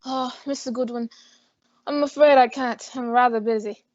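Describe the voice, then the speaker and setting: A jittery, stammering man with something to hide, played by a young woman, in a high, strained voice. The sound is dry and somewhat dull, like a school radio play. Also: steady tone, normal speed, darkish tone, no echo, natural voice. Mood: flustered. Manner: flustered and stammering. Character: nervous, flustered man hiding something. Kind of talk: old-time radio drama acting.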